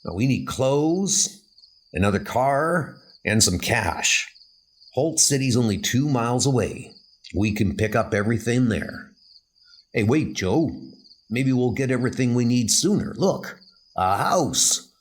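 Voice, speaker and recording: A man voicing an eager, excitable convict henchman with slangy talk in a horror comic dub, with rain noise behind. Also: mid-range voice, a little slow, slightly rough, pitched above the performer's own voice.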